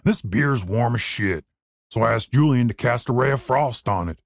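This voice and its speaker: A dim, shameless half-orc adventurer , acted by a male audiobook reader. His voice is rough and gravelly at a middling pitch. He talks bluntly and crudely, close and dry on the mic. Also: animated, no echo. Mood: grumbling. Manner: blunt, crude, slow-witted.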